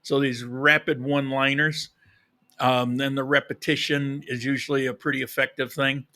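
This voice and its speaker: A man's voice: mid-range voice, level tone, moderate pace, bright, forceful tone. A man hosting a live podcast, talking informally and directly to his audience. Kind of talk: casual livestream talk to viewers.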